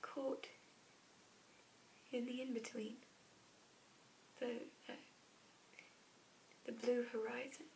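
A woman performing a dramatic monologue as a wistful, haunted murdered fourteen-year-old girl, halting and often whispery, with long pauses. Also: a little high, flat delivery, gravelly, mellow, rough recording. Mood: hushed, mournful, then wistful, dreamy. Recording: hissy background noise.

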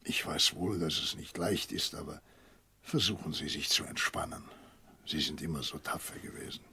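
An actor in a German radio drama playing a concerned family doctor, gentle, measured and sympathetic in his speech. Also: rumbling low, gravelly, a little hiss or hum, heavily acted. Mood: sympathetic, consoling.

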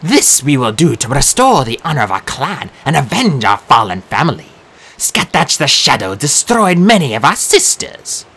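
A man's voice: mid-range voice, big pitch swings, normal speed, a bit bright, breathy edge, pitched above the performer's own voice, heavily acted. A man putting on menacing Valkyrie sisters. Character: cold ancient Valkyrie warrior sisters, menacing. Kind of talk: audiobook character voice.

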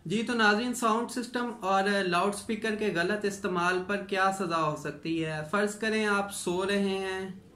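A man in his thirties with a Pakistani accent, a lawyer explaining law to camera in a formal, halting way with frequent pauses. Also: sits high, pushed and bright. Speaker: lawyer presenting legal advice. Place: small tiled office, indoors. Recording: echoey room with noticeable background noise.

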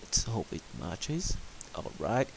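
Low-voiced man giving instructions over hiss. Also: sing-song, slow pace. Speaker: tech support tutorial presenter. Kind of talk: step-by-step screen tutorial narration. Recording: hissy home voice-over recording. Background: clear background hiss.

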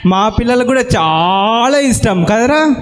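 A woman's voice: a little high, lively intonation, a little slow. A woman hosting a children's Bible school puppet show, playfully talking with puppets and children. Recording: reverberant hall, faint background noise.

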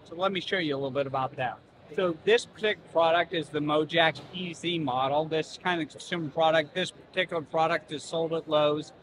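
Folksy Kansas business owner, noisy hall. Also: male voice, on the high side, a little fast. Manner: folksy, friendly. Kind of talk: casual product pitch. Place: large indoor expo hall. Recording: crowd noise of a busy expo hall.

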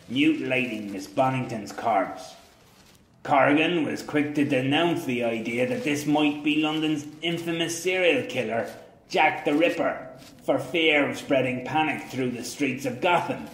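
A man playing a gruff, cynical nineteenth-century police captain in an audio drama, with a deliberate, sardonic delivery. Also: medium pitch, roomy sound, lightly acted, rough recording. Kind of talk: audio drama, reading aloud and noir narration.

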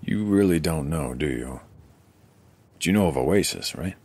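A man's voice: a bass voice, expressive, moderate pace, darkish tone, slightly rough, heavily acted. An actor playing a wary, questioning man who keeps careful records, in an audio drama. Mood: guarded. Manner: questioning, guarded.

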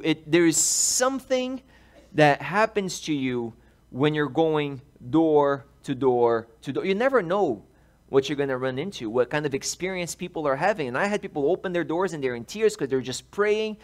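Man in his thirties from Brazil, animated pastor preaching a story-led sermon in a church, headset mic, voice a touch high. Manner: animated, gestures while speaking. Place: large church sanctuary, indoors.

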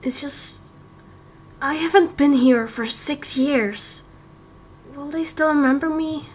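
A woman's voice: high voice, slow pace. A woman voicing a timid, anxious young man in a game roleplay, speaking hesitantly with stammers. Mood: wistful, uneasy. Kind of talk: amateur game roleplay acting.

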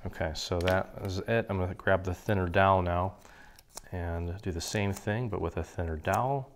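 A man with a slightly hoarse voice from a cold, walking through a paper craft project in a relaxed, chatty way. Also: low-pitched, moderate pace, a little hiss or hum. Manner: relaxed, chatty, clears his throat now and then. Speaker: craft tutorial host. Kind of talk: casual step-by-step crafting instruction. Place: indoor craft table.

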